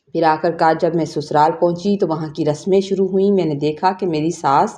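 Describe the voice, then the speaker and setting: A woman's voice: on the low side, warm tone. A woman narrating a scripted first-person story in an emotive storytelling manner.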